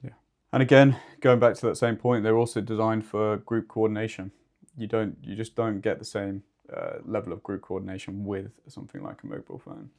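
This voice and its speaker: A man co-hosting an informal podcast discussion, summing up points in a measured way. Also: lowish voice, animated, vocal fry, a little mellow. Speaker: podcast co-host.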